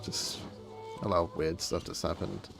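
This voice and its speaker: A man chatting casually while livestreaming a game, in a rambling, self-interrupting, low-key way. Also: fairly even intonation, normal speed, gravelly. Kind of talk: casual stream chat. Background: soft game music.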